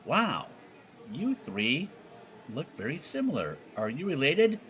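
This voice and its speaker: A man's voice: fairly high pitch, huge pitch swings, takes it slowly, fairly bright tone, full character voice. A man voicing a barkeep who asks questions in a bar joke. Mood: curious. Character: adult male barkeep in a joke.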